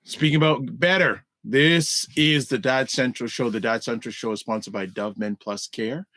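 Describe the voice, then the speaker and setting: A man's voice: medium pitch, normal speed, bright, forceful tone, dry recording. A man co-hosting a casual video-call talk show, upbeat, repeating points for emphasis and reading the sponsor message. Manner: upbeat, repetitive emphasis, announcer-like reads. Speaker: co-host. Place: indoor room.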